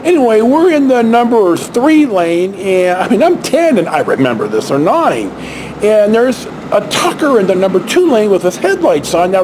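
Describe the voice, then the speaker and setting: A man tells stories casually, in a halting, rambling way with asides and self-corrections. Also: high voice. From San Francisco Bay Area, United States. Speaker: vlog host telling personal stories. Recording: clear steady background noise.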